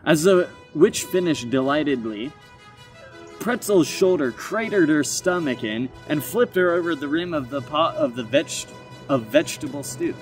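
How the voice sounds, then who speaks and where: A man's voice: a little high, very animated, normal speed, gravelly, distant mic, faint music behind, poor audio. A man telling a comic story aloud, reading dramatically with informal asides and stumbling self-corrections.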